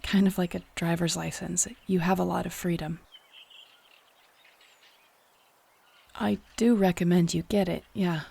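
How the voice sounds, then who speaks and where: A woman playing an earnest, tender young shapeshifter clan leader in an intimate audio roleplay, speaking softly and confidingly. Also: lowish voice, fairly even intonation, a little fast, vocal fry, husky, exaggerated voice. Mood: sincere, restrained. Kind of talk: intimate audio roleplay acting addressed to the listener.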